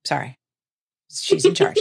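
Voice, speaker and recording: A woman chatting casually about books as a podcast host, playful and wryly self-mocking. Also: unhurried. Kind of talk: casual book chat.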